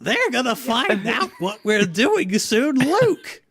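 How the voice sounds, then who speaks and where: Excitable sci-fi sidekick man acting it out, pitched up and animated, comic amateur fan-fiction read. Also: slow pace, breathy edge, exaggerated voice. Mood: urgent, comic.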